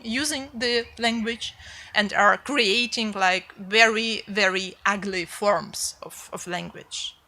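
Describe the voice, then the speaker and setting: A translator speaking English on a panel, thoughtful and halting with many fillers. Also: female voice, medium pitch, sharp tone. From Ukraine. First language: Ukrainian. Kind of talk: panel discussion.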